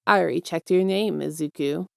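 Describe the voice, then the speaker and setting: A smug, casual male villain mastermind, acted by a woman, pitched low. He coaxes and teases softly, with affectionate confidence. The story reading sounds dry and close. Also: brisk, gravelly, dry recording. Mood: smug, amused. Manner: teasing, coaxing, soft-spoken, self-assured.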